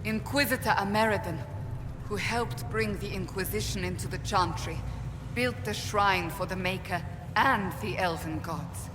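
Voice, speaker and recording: An actress playing a matter-of-fact, dry-witted warrior companion in a video game. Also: female voice, medium pitch, normal speed, lightly acted. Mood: serious, thoughtful. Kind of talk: dramatic video game character acting. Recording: long reverb over noisy ambience.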